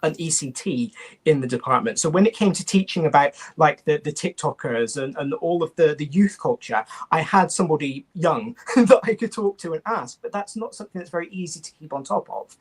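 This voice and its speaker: A man's voice: on the high side, expressive, brisk, slightly rough. A man hosting a panel of language teachers, chatty with stumbling restarts. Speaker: host. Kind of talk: panel discussion.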